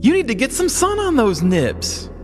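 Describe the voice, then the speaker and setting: A man voicing an excitable teenage boy sidekick who shouts eagerly. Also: extremely high pitch, normal speed, big-room sound, faint music behind, pitched above the performer's own voice, exaggerated voice. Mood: rowdy.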